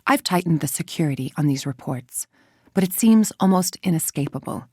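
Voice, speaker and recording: A woman's voice: sits low, expressive, fairly quick, darkish tone, slightly rough, no room sound, clean studio sound. An actress playing a cold, self-righteous woman scientist who is a video game villain, speaking with controlled, condescending precision. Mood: clinical, stern. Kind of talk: video game voice acting, scripted dialogue and briefings.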